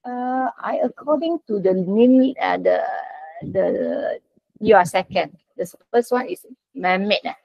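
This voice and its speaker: A woman with a Malaysian accent moderating an online session in informal talk, polite and halting, over dull lo-fi call audio. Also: mid-range voice, vocal fry, no echo. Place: online video meeting.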